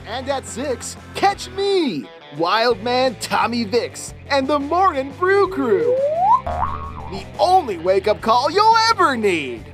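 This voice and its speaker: Woman doing cheesy peppy station announcer, sing-song, big pitch swings, slow punchy delivery, music behind. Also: very animated, heavily acted. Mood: upbeat. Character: peppy, tacky local radio jingle announcer. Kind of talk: scripted retro radio station promo.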